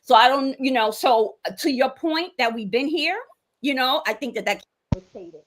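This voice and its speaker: Measured woman giving closing remarks. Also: high voice, a bit bright. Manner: measured, thoughtful, many ums. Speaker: discussion participant.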